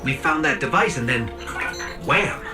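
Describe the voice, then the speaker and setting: An actress playing a dazed, bewildered AI companion in a video game, as if just waking up. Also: female voice, extremely low pitch, animated, measured pace. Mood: dazed, confused. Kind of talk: scripted video game voice acting. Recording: distant, with clear music behind.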